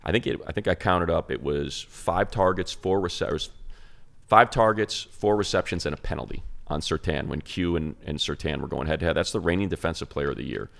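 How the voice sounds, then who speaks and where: A man's voice: deep voice, fairly quick, creaky. A man giving casual sports podcast commentary as a host, conversational and emphatic, repeating phrases for stress. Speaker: sports show host and analyst.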